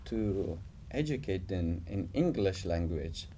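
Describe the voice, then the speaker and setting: A man's voice: low voice, animated, slow, dark tone, slight background noise. A man interviewing a guest in non-native English, asking questions with frequent filler words. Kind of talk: casual interview talk.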